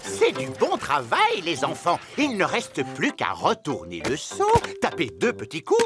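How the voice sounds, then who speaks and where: A woman's voice: lowish voice, huge pitch swings, measured pace, loud music behind the voice. A woman voicing a jolly potato showman of a theme park in a preschool cartoon, with a showy announcer delivery. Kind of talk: preschool cartoon dubbing in French.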